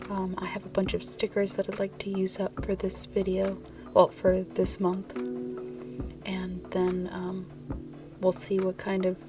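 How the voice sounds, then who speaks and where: A woman with a scratchy, congested-sounding throat, narrating a journaling video in a soft, half-whispered voice. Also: medium pitch. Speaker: journaling vlogger. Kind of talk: soft-spoken voice-over narration. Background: lo-fi music.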